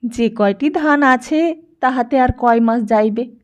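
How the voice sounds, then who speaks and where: A woman's voice: a little high, unhurried. A woman reading a literary story as scripted audiobook narration.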